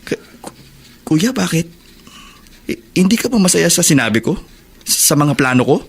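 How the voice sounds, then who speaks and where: A man's voice: on the high side, big pitch swings, normal speed, gravelly, husky, exaggerated voice. A man acting as an earnest young prisoner and younger brother in a Tagalog radio drama, with emotional delivery. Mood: worried, faltering.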